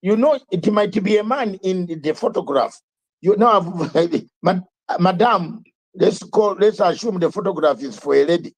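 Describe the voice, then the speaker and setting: An older man with a high, husky voice drives his live talk show with fiery commentary. He repeats himself for emphasis and throws out rhetorical questions one after another. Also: expressive, moderate pace.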